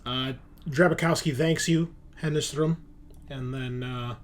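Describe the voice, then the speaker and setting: A man narrating a tabletop roleplaying game as game master in a halting, informal, explanatory way. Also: mid-range voice, steady tone. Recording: clear background noise, room ambience.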